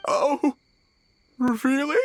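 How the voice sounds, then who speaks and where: A woman's voice: high voice, fairly even intonation, drawn-out words. A woman playing a small, earnest, gullible tanuki spirit in a video game, declaiming with childlike wonder. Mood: doubtful.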